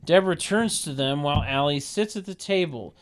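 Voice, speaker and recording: A man narrating a comedy table read in a deadpan way, with mocking sardonic asides. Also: fairly high pitch, brisk, no echo. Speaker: narrator reading stage directions.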